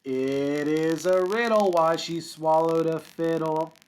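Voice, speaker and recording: A man's voice: on the high side, steady tone, slow pace, a little mellow. A man reading a children's picture book aloud like a kindergarten teacher, with casual asides and encouraging, simple, sing-song delivery for young children.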